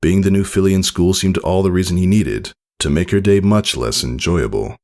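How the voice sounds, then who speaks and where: A man reading a story aloud as scripted narration, in an expressive storytelling manner. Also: deep voice, moderate pace. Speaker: narrator reading fan fiction. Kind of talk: scripted audiobook-style narration.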